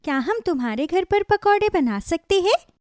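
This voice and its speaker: Woman putting on a high, earnest mother sparrow. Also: very animated, moderate pace, darkish tone, no echo, clean studio sound. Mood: urgent, pleading. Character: devoted, earnest mother sparrow in an animal tale. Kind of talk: children's cartoon storytelling.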